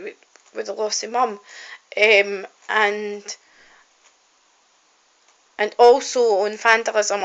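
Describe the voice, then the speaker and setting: A woman speaks straight into her phone for a personal video diary. Her tone is bright and forward, and her pitch sits in the middle of the usual range. She rambles earnestly, with plenty of ums and false starts. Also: poor audio. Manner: heartfelt and rambling, with frequent ums and restarts. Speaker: singer-songwriter and college student. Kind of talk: personal video diary, casual talk to camera.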